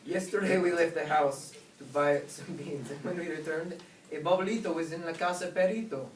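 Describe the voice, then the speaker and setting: A man voicing a laid-back chihuahua who leads his little gang in a storybook, with drawn-out, rhyming lines. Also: a little high, natural voice. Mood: confiding. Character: laid-back male chihuahua gang leader, biggest of the small ones. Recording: roomy, distant, noisy recording.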